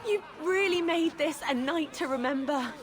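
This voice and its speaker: A woman's voice: sits high, normal speed, lightly acted. A woman playing a delighted, grateful young bride in a video game cutscene.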